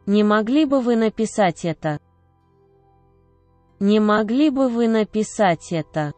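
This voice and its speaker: A woman reading short phrases aloud one at a time, each clearly enunciated and measured. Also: mid-range voice, animated, warm tone, no room sound, soft background music. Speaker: Russian phrase reader. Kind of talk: slow repeated phrase reading.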